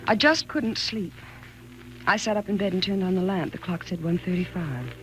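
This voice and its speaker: An actress in a 1940s radio thriller playing a frightened, anxious wife, with a dramatic, halting delivery. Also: female voice, fairly low pitch, music in the background, natural voice. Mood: uneasy. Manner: dramatic, halting and stammering when afraid. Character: frightened, anxious wife narrating her growing dread. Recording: noisy old 1940s radio recording.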